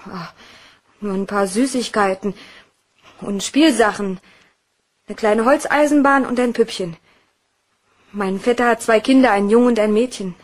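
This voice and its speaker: A woman's voice: fairly high pitch, normal speed, long pauses, lightly acted. An actress playing a polite, slightly uneasy young female office worker in a crime radio play, answering carefully. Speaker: actor.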